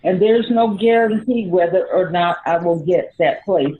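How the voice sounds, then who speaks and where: A woman's voice: lowish voice, a little slow, natural voice. A woman playing a worried, indignant homeless citizen in an amateur radio play, earnest and incredulous. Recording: phone-like muffled sound with faint noise.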